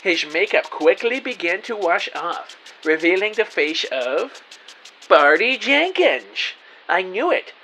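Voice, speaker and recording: Man playing an animated, bumbling amateur detective. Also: high voice, slow pace, a little hiss or hum, full character voice. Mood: triumphant. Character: earnest, excitable, bumbling amateur detective narrating his case. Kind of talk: scripted first-person audio drama narration.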